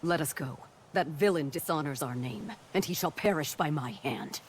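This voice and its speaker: A woman's voice: low-pitched, normal speed, a little hiss or hum, natural voice. A woman playing a proud, vengeful warrior noble in a video game, speaking in a formal, grave manner. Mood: resolute. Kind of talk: dramatic video game cutscene acting.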